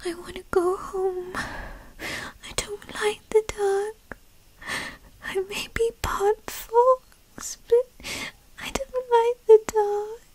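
High, whispery young fox-spirit girl, acted by a woman. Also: flat delivery, darkish tone, heavily acted, rough recording. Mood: frightened, tearful. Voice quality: breathy, often whispery. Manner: halting, stammering, skittish. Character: young bratty female fox spirit, timid but defensive. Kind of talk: ASMR roleplay, scripted character acting.

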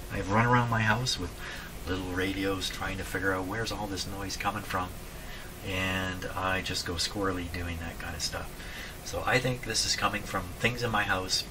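An older man narrating casually at his workbench, thinking aloud in a folksy way. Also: deep voice. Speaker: radio repair hobbyist, presenter. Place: small cluttered indoor workshop at a bench. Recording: distant mic, clear background noise.

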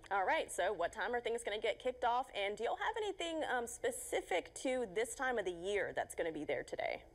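A woman's voice: a little high, big pitch swings, a little fast. Young woman anchoring the news. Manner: friendly, with light laughs. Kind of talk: studio interview hosting. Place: TV news studio.

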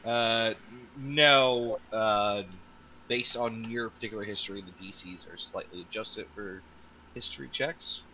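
A man narrating as game master of a tabletop game, halting with fillers and restarts. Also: on the low side, sharp tone, dull audio, a little hiss or hum.

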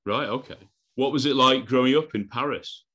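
A man's voice: mid-range voice, moderate pace, sharp tone, breathy edge. A man in his thirties hosting a podcast interview on a video call, eager, often repeating words and laughing between lines. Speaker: podcast host.

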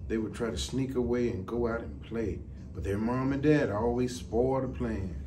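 A middle-aged man reads a children's storybook aloud in a measured, deliberate way. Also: mid-range voice, steady tone, mellow. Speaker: deputy fire chief. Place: indoor office, seated. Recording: distant mic, some background noise.

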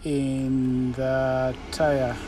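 Male truck-driver vlogger, slow and step-by-step, casual, faint vehicle noise in the background. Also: mid-range voice, monotone. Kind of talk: casual vlog walkthrough, thinking aloud. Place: truck cab and outdoor rail yard.

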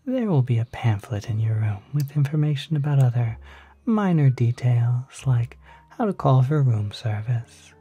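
An ASMR performer of unclear sex playing a courteous demon hotel concierge, speaking softly and politely, close to the microphone, often near a whisper. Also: medium pitch, expressive, slightly rough, faint music behind. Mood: courteous, soothing. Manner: polite, unhurried, gently salesmanlike. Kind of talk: ASMR roleplay.

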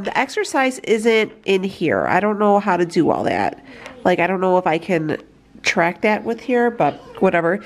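A young woman vlogger with a stuffy, nasal voice, chatting casually about her meals in her home kitchen on a handheld phone camera. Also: medium pitch. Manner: chatty, rambling, upbeat. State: stuffy from allergies. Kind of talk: casual food diary chat.

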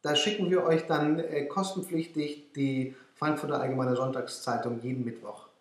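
A man's voice: mid-range voice, fairly even intonation, moderate pace. Hesitant man in an echoey room. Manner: hesitant, filler-heavy. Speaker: presenter. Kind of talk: direct-to-camera promo.

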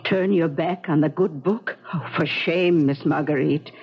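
A woman playing a motherly, pious, gently scolding maidservant in a radio drama, with a husky voice. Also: low-pitched, a little slow, heavily acted. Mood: pious, chiding. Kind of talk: 1970s radio drama acting. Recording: dull 1970s radio recording.

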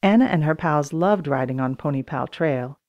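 A woman narrating a children's storybook audiobook in an expressive, acted-out reading style. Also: deep voice, moderate pace, lo-fi sound. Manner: expressive, acts out dialogue in raised voices.

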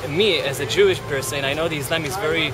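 A man in his thirties answering street interview questions at night, earnest and halting as he searches for words. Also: a little high, music in the background, rough recording. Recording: clear street noise behind.

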